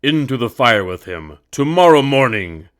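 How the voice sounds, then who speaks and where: Man voicing an imperious, booming storybook emperor. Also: very animated, normal speed, natural voice. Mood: menacing command. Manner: commanding, booming.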